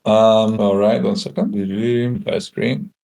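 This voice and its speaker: Brief: man from Spain, low-ish voice, laid-back tech explainer on a video call, lots of ums. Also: slow, creaky. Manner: relaxed, many fillers and restarts. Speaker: guest solutions engineer. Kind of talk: casual technical presentation.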